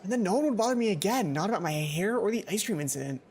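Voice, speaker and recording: A man's voice: high-pitched, animated, rapid-fire, a little mellow. A young man voicing a nervous, flustered teenage schoolgirl in a visual novel. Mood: hesitant, hopeful. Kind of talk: visual novel character reading.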